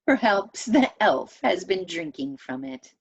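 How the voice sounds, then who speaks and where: A woman reading a children's story aloud as an expressive storyteller. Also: medium pitch, measured pace, husky, dull audio.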